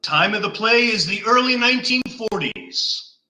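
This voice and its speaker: A man's voice: sits high, animated, moderate pace, heavily acted. A man acting an ominous announcer of an old-time radio thriller, theatrical and drawn out. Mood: ominous, teasing. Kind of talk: 1940s radio thriller introduction. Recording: echoey, under loud music.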